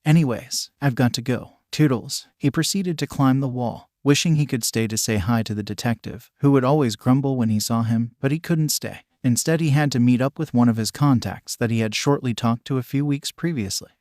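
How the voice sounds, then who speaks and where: A man's voice: mid-range voice, brisk, few pauses, darkish tone, dry recording, clean studio sound. A man reading scripted fan fiction as a narrator, voicing dialogue much like the narration.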